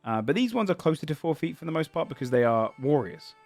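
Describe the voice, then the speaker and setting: A man's voice: on the high side, sing-song, fast, darkish tone, soft background music. A man narrating a tabletop game as game master, describing the scene in a searching, descriptive way.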